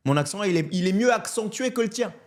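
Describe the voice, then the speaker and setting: A French man in his thirties, a comedian, telling his life story in a casual interview in an animated, candid way. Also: sits high, fast talker. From France. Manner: animated and candid, often adds "tu vois". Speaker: comedian guest.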